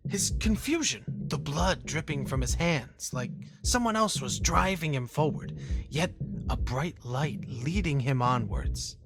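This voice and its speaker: An actress playing a composed, needling interrogator in a video game, speaking in a measured, theatrical, eerily poetic way. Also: female voice, deep voice, expressive, vocal fry, over music, heavily acted. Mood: eerie, needling. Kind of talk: dramatic interrogation dialogue.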